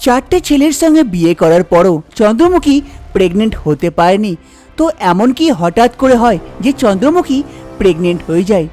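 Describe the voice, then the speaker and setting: A woman's voice: medium pitch, very animated, fairly quick, dark tone, faint music behind. A woman narrating a scripted cartoon folk tale. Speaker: storyteller, narrator.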